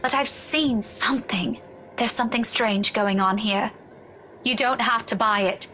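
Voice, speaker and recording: A woman's voice: on the high side, measured pace, bright, forceful tone, slight background noise, low-quality recording. An actress playing a level-headed young woman in a game, firm and earnest, defending herself.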